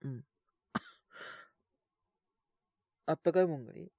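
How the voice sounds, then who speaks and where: A man with a sore, scratchy throat and a cough, chatting casually and intimately in soft, hesitant murmurs as if to someone beside him. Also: on the high side, a little slow, no room sound. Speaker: voice actor making situation-voice videos. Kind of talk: intimate casual chat to an unheard partner.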